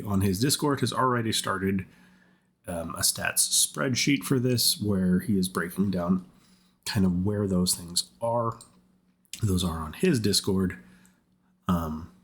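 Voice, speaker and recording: A man giving casual gaming commentary in a rambling, think-aloud way, his voice rough from repeated colds. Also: on the low side, a bit bright. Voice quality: husky, rough voice. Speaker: game content creator.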